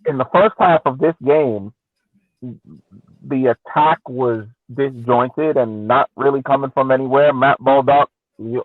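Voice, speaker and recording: A man's voice: mid-range voice, no room sound. A man on a podcast panel casually arguing through a soccer game, posing questions and acting out reactions. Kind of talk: casual post-game sports discussion. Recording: thin phone-like radio sound.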